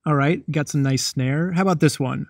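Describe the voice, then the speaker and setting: A man's voice: a little high, normal speed, a little hiss or hum. Man narrating a playful cooking lesson. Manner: playful, jokey instructional tone. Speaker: cooking show host.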